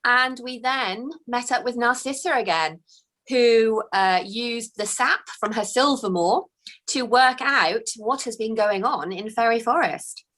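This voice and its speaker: A woman, a children's author, reading her own book aloud with gentle, expressive storytelling, then chatting about the next book. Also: a little high, measured pace.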